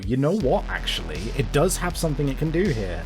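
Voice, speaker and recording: A man running a tabletop roleplaying game as game master, narrating in a casual, excitable, sweary way. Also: medium pitch, a little fast. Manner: casual, profane, excitable storytelling. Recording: music playing behind the voice. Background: background music.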